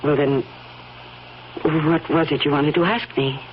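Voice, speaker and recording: Casting: male actor for guarded, plain-answering man in old radio drama, lighter pitch, dull sound with faint noise. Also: steady tone, measured pace, natural voice. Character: guarded, matter-of-fact man being questioned. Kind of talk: classic radio drama acting.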